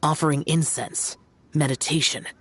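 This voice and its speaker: Low-voiced, solemn ancient immortal. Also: female voice, fairly even intonation, moderate pace, fairly bright tone, slight background noise. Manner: formal, grave, lofty. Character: solemn, stern, ancient female immortal spirit sage. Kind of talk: dramatic video game voice acting.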